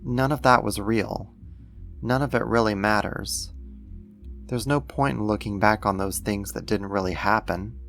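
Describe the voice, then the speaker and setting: A man playing a doting alien creator who soothes a human pet, affectionate and gently possessive, in an ASMR roleplay. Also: lowish voice, fairly even intonation, unhurried, vocal fry, faint music behind. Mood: serene, possessive.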